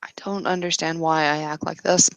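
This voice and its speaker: A woman playing a distressed, confused mortal woman in a roleplaying game, speaking haltingly with long pauses. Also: low-pitched, level tone, no echo, lo-fi sound. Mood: distressed, pleading.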